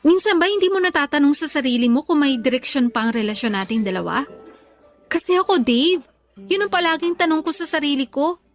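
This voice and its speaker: A woman's voice: sits high, expressive, a little fast. An actress with a Filipino accent playing an earnest, disillusioned young girlfriend in a radio drama. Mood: serious, hurt. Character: hurt, disillusioned young girlfriend, a content creator. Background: music.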